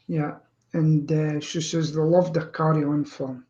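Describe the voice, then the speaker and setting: The sound is dull and lo-fi, like a live streaming call. A co-host talks in a casual, rambling way at an average pitch, drifting between anecdotes. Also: flat delivery, a little slow, mellow. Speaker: co-host of online chat show. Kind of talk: casual chat. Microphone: live streaming video call.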